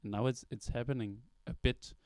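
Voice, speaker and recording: Smooth-voiced man asking questions. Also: medium pitch, fairly even intonation, fairly quick, a little mellow, no echo. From Denmark. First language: Danish. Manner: thoughtful, long reflective questions. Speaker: interviewer, songwriter. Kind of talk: interview questions. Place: record store at a release party.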